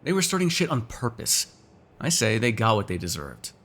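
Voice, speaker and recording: A man's voice: mid-range voice, big pitch swings, normal speed, fairly bright tone. A man playing a sardonic, teasing, foul-mouthed vampire in an ASMR roleplay, talking casually to one listener. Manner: casual, teasing, sarcastic, swears freely.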